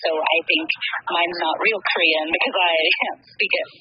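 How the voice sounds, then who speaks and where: A woman's voice: lowish voice, moderate pace, slightly rough. A young Canadian woman chatting as an interview guest, full of ums and laughs, talking over a call from a train. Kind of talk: casual interview chat. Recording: muffled lo-fi call audio.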